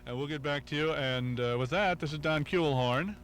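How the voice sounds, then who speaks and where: A man's voice: fairly high pitch, moderate pace, fairly bright tone. Casual male radio host, noisy tape. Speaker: radio show host, interviewer. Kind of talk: casual conversational interviewing.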